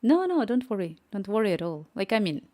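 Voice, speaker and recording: A woman's voice: medium pitch, big pitch swings, a little fast, darkish tone. Ukrainian woman live-coding and chatting. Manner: chatty, thinks aloud, reads chat messages and dictates prompts. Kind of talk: casual live-stream commentary while coding.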